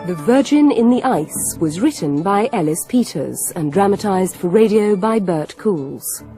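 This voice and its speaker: A woman's voice: medium pitch, expressive, unhurried, dark tone, soft background music. A woman reading a scripted announcement as a radio continuity announcer, in a formal, orderly way.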